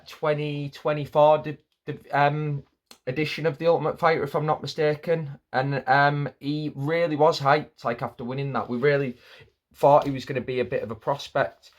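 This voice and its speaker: A man giving casual fight predictions, rambling, restarting his sentences and packing in filler words. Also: medium pitch. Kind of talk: casual fight-pick commentary.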